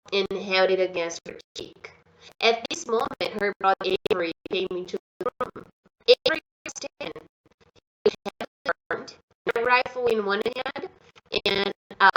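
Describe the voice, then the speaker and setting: A woman's voice: medium pitch, fairly bright tone, muffled recording. Brazilian woman teacher with a cold. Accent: Brazilian Portuguese. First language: Portuguese. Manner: chatty, switches between reading and explaining, drills pronunciation. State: has a cold. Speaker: English teacher. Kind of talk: English lesson, reading a book aloud and explaining.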